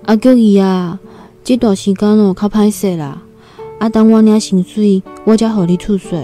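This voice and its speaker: Timid young country girl, mellow voice, played by an actress. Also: medium pitch, moderate pace, soft background music, close to an everyday voice. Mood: earnest, humble. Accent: Taiwanese. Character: timid nineteen-year-old country girl new in Taipei. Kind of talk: Taiwanese radio drama, first-person storytelling and dialogue.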